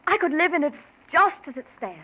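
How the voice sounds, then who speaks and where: A haunted, suspicious wife in a vintage radio melodrama. Her high voice moves with earnest intensity, heard through a narrow, tinny old recording. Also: animated, fairly quick. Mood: distracted, wistful. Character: determined, suspicious, haunted wife of a missing man. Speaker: actor. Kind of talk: 1940s radio acting.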